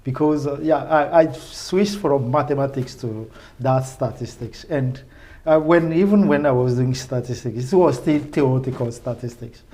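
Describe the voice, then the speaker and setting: A man's voice: mid-range voice, mellow. Enthusiastic man with a Francophone West African accent. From Benin, West Africa. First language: French. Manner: enthusiastic, repeats phrases, halting English phrasing. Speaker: research professor, podcast guest. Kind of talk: casual spoken interview.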